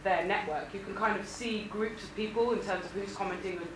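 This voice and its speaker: Audience woman, fast and tentative, echoing hall heard from afar with noise. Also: medium pitch, fairly even intonation. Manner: apologetic, tentative, says she has a loud voice. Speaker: audience member asking a question. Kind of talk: question from the floor. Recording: echoey hall, distant mic, noisy.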